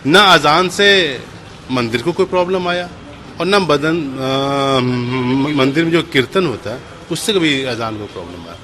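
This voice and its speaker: Bright, forceful man explaining on location. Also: medium pitch, lively intonation, a little slow, a little hiss or hum. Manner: explanatory, emphatic, mixes in English words. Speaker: mosque committee president. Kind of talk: interview answers.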